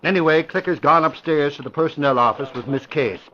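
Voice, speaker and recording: An actor playing an excitable, blunt, colloquial newspaperman sidekick in an old-time radio crime drama. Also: on the high side, brisk, close to an everyday voice. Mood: matter-of-fact. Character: excitable, plain-spoken male newspaper reporter sidekick. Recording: muffled old radio recording.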